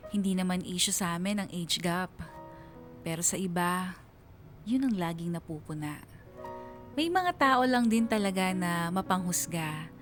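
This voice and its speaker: Young Filipina narrating confessionally. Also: female voice, fairly low pitch, animated, unhurried, pauses often, faint music behind. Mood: reflective. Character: young college woman fondly narrating her love story. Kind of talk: confessional radio drama narration.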